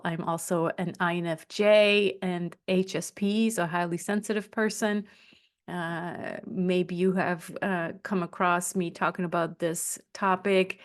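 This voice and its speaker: A middle-aged woman from Switzerland presenting a solo podcast, talking directly to listeners from loose notes. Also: lowish voice. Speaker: podcast host and business coach. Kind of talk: solo podcast talk to camera, partly scripted.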